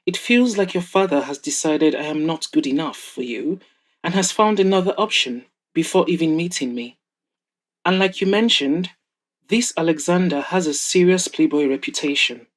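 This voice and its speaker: A woman's voice: deep voice, animated, moderate pace. A woman voicing a wary, jealous boyfriend in an audio drama, speaking earnestly. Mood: wary, hurt. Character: Paul, wary, jealous boyfriend, adult man. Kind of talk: audio drama character dialogue.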